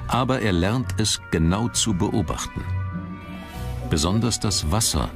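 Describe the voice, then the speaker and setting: Very deep-voiced male documentary narrator. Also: expressive, unhurried, gravelly, music in the background.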